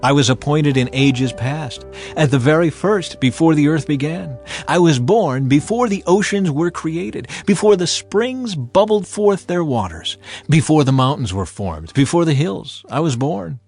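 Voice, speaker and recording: A man's voice: a little high, animated, unhurried, a little mellow, slightly rough, full character voice. A man plays an ancient, exalted spirit of wisdom in a dramatized scripture reading. The delivery is earnest and commanding, a public proclamation with very varied intonation. Music plays underneath. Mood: proud, exalted. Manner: declamatory, proclaiming to a crowd. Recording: music behind the voice.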